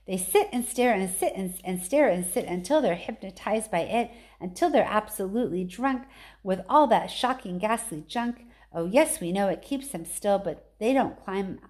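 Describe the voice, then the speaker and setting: A woman's voice: mid-range voice, lightly acted. A woman voicing tiny factory workers in a children's storybook, reciting moralising verse with rhythmic, scolding emphasis.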